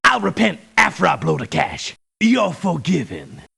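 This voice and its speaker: Pompous gun-toting preacher, pious sermonizing, high bright voice, gravelly, theatrical game acting. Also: male voice, wildly swooping pitch, measured pace, heavily acted. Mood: sanctimonious, solemn. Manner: sermonizing, pompous, formal biblical phrasing. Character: pious, pompous preacher turned mercenary, ruthless beneath the scripture. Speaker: video game character voice. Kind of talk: theatrical video game voice clips. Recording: clipped lo-fi game voice samples.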